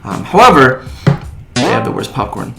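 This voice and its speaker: A man's voice: mid-range voice, very animated, normal speed, creaky. Casting: informal young man for movie talk podcast, middle register, slightly echoey setup. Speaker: movie news podcast co-host. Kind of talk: casual chat. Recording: slightly echoey room, music underneath. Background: background music.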